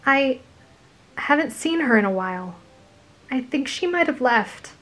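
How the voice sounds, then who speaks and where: A woman voicing a kind, caring teenage schoolgirl who speaks gently and encouragingly. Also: sits high, expressive, measured pace, faint music behind, pitched above the performer's own voice. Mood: concerned.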